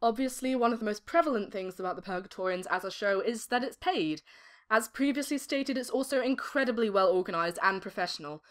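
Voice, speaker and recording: A woman's voice: mid-range voice, lively intonation, brisk, a bit bright. A British woman in her late teens giving articulate, formally worded interview answers as a voice actor. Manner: articulate, formal wordy phrasing.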